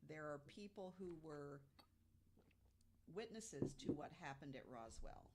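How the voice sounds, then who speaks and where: A woman's voice: low voice, expressive, measured pace, heavy background noise. A woman on a podcast panel talking casually and emphatically, often repeating herself to agree. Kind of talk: casual conversational discussion.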